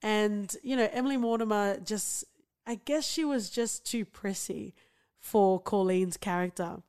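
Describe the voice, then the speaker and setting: A woman's voice: medium pitch, measured pace, a little mellow, clear, resonant tone. A young woman co-hosting a podcast, chatting casually about a TV show, laughing often and using lots of fillers. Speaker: podcast co-host.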